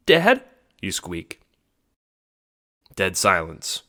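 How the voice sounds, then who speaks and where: A man's voice: deep voice, moderate pace, bright, forceful tone. A man narrating a scripted story in the second person, in the style of an audiobook.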